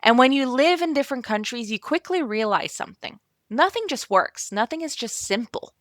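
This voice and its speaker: A middle-aged woman from Belgium hosting her podcast, speaking gently and encouragingly straight to the listener in a calm scripted monologue. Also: sits high, normal speed, no room sound, studio quality. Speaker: podcast host and operations consultant.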